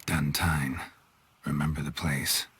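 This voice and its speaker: A man playing a stoic, gruff monster-hunting detective in a fantasy game, with a gravelly voice and terse, clipped phrasing. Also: steady tone, takes it slowly, fairly bright tone, exaggerated voice. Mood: matter-of-fact. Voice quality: low gravelly, husky voice. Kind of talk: cinematic fantasy game dialogue.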